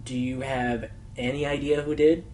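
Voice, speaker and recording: A man's voice: medium pitch, flat delivery, normal speed, a little mellow, slight background noise, natural voice. A man acting as an earnest, easily exasperated male pony who hosts a radio show, insistent and theatrical, in a radio play. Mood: frustrated.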